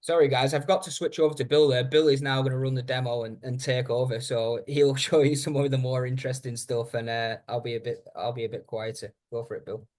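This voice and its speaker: A man presenting a software training webinar in a conversational, informal way, speaking directly to his listeners. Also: mid-range voice, fairly even intonation, a little fast, hardly any pauses.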